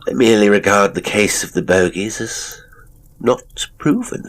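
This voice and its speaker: A man voicing a measured, open-minded young Victorian gentleman in an audiobook, speaking formally and deliberately. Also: fairly low pitch, pitched above the performer's own voice, close to an everyday voice, rough recording. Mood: measured, reasoning. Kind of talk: audiobook character acting.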